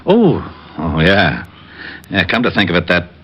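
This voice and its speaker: An actor playing a gruff, loyal police lieutenant in 1940s radio style. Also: male voice, low voice, big pitch swings, measured pace, fairly bright tone, heavily acted. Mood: easygoing. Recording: dull old radio recording.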